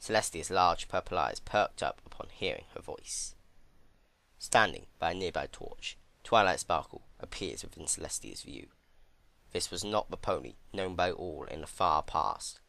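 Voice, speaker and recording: Deep-voiced man narrating dramatically. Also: animated, moderate pace, vocal fry, bright, forceful tone, dry recording. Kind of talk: dramatic scripted narration of dark fan fiction.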